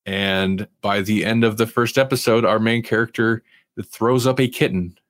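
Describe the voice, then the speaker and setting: A man's voice: fairly low pitch, steady tone, moderate pace, no echo, studio quality. A man hosting a TV review podcast in casual chat, rambling and informal with an amused manner. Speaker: podcast host reviewing TV shows.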